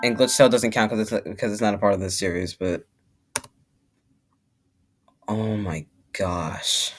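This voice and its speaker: A man's voice: on the low side, measured pace, soft background music. A young man hosting a reaction video with casual, rambling commentary, emphatic and repeating himself to make a point. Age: young adult.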